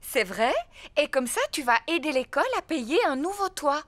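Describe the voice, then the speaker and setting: A woman voicing a calm, sensible mother pig in a cartoon, gently teasing. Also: high voice, moderate pace, no room sound. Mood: gentle.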